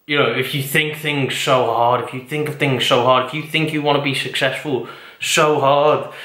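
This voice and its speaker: A man's voice: fairly high pitch, sharp tone. A young man, talking casually and at length in a personal vlog, rambling and self-deprecating with tangents. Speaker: vlogger. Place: indoors at home. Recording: echoey room.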